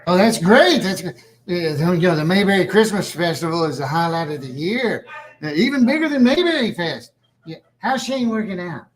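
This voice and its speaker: A man's voice: high voice, lively intonation, moderate pace. A man playing a genial, folksy, easily amused small-town official in a table read of a comedy series.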